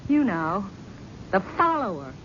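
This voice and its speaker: A bitter, mocking wife in a 1970s radio drama, with a sarcastic, needling edge. The sound is dull and lo-fi, like an old radio broadcast. A faint hiss runs underneath. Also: female voice, medium pitch, big pitch swings, a little slow, a bit bright.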